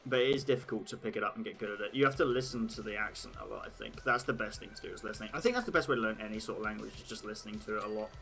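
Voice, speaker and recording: A man's voice: lowish voice, very fast, creaky, few pauses, pushed and bright. A young British man with an occasional Australian twang, hosting a livestream and chatting casually with viewers, thinking aloud with lots of "like"s. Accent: British, with occasional Australian words. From Britain. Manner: chatty, laughs at his own jokes, thinks aloud with many "like"s. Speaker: livestream host.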